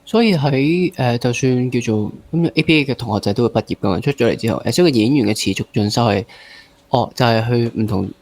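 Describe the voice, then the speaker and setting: A man's voice: mid-range voice, fast talker, darkish tone. A man with a Hong Kong accent hosting a casual interview, rephrasing and repeating himself as he thinks aloud. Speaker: interview host.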